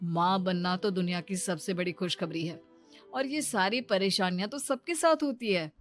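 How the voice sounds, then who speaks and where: A woman's voice: lowish voice, moderate pace, faint music behind, natural voice. A woman playing caring relatives of a pregnant wife in a cartoon story, soothing and reassuring. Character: caring husband and mother-in-law, soothing and reassuring. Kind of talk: Hindi animated moral story acting.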